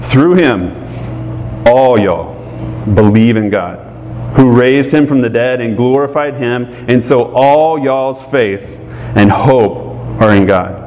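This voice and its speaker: A man's voice: mid-range voice, a little mellow, a little hiss or hum. A man with a Southern US accent preaching a folksy, joking sermon in short phrases for an interpreter. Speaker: pastor. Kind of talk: bilingual church sermon with interpreter. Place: church sanctuary. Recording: echoey hall, congregation audible.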